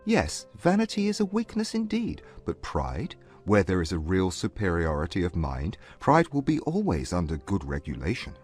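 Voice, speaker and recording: A man playing a proud, aloof Regency gentleman in a dramatized audiobook, speaking formally and with measured haughtiness. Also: sits low, huge pitch swings. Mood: grave, self-assured. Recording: music bed under the voice.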